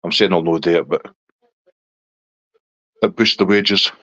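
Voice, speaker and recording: A man's voice: low voice, steady tone, measured pace, pushed and bright, no room sound, highs cut off. A middle-aged man from Scotland with a Scottish accent, chatting casually on a live stream and answering viewers' comments.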